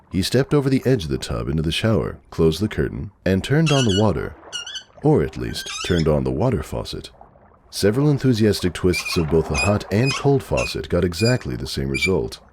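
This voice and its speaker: A man's voice: low voice, darkish tone, slight background noise. A man narrating a story from a script as a dramatic reading. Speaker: narrator, fan fiction reader.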